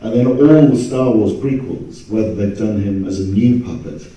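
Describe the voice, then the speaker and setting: Very dark-voiced man, distant and echoey. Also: lowish voice, moderate pace. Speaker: convention panelist. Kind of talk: casual storytelling on a panel. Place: stage in a hall, recorded from audience camera. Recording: very echoey hall, distant microphone.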